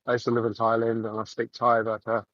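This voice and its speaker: A man from New Zealand with a New Zealand accent, chatting casually on a voice call while walking outdoors, halting and full of fillers. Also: mid-range voice, monotone, dry recording, muffled recording. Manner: rambling, halting, many fillers.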